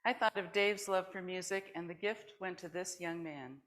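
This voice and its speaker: A woman's voice: fairly low pitch, normal speed, a bit bright. A woman presenting at a worship service, reading short personal stories aloud to a congregation.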